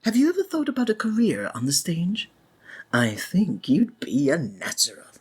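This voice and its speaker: A woman playing a flamboyant, courtly and sinister stage magician in a theatrical ASMR roleplay, with flowery, drawn-out, flattering phrasing. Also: low-pitched, husky, exaggerated voice. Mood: gracious, confiding.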